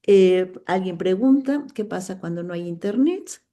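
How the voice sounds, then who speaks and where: Mexican woman moderator, warm dark tone, reads audience comments, hesitant fillers. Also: lowish voice, expressive, unhurried. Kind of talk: moderating an online book launch.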